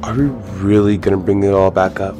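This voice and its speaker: A man's voice: deep voice, little pitch movement, a little fast, natural voice. A young man acting in an audio drama as an earnest, brooding vigilante superhero, self-serious and exasperated. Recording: music bed, some lines in reverb.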